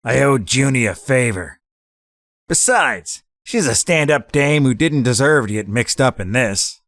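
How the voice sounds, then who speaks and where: A man acts out a scheming mob boss from a hardboiled radio play. His voice has a creaky, gravelly edge at a middling pitch. He talks in unruffled street slang, recorded close and dry. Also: lively intonation, a little slow, fairly bright tone, no echo. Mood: cool, assured. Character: cool, scheming gangster taking over as boss. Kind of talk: hardboiled 1940s gangster audio drama acting.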